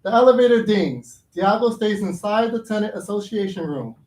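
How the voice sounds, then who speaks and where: High-voiced man presenting in an echoey room. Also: lively intonation, normal speed, darkish tone. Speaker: playwright introducing and reading stage directions. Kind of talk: live introduction and stage-direction narration. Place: live staged reading in a theater program. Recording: echoey room with background noise.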